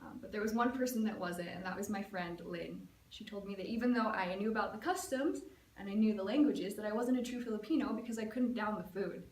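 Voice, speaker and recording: A woman's voice: mid-range voice, a little fast, slight background noise. A young American woman tells a personal story as a prepared speech, in a casual, chatty way full of "like" and "um". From United States. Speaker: student giving a speech. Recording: echoey room, mic at a distance, faint ambience.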